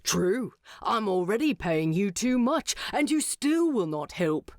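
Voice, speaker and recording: A woman voicing a gruff, concerned dwarf king in a storybook narration, terse and guarded, teasing with a straight face. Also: medium pitch, big pitch swings, unhurried, a little mellow, dry recording, studio quality. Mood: deadpan teasing. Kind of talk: fan fiction narration with character voices.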